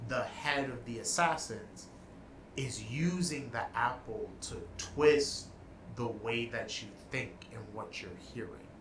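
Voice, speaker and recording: A man's voice: mid-range voice, a bit bright, slight background noise. A man co-hosting a casual chat, talking in long rambling stretches with restarts. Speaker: podcast co-host. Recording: roomy with faint ambience.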